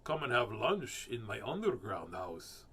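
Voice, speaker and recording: A man's voice: on the low side, big pitch swings, measured pace, bright, forceful tone, husky, pitched below the performer's own voice, exaggerated voice. A man voicing a predatory but easily spooked storybook fox in a theatrical, exclaiming way. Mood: inviting, curious.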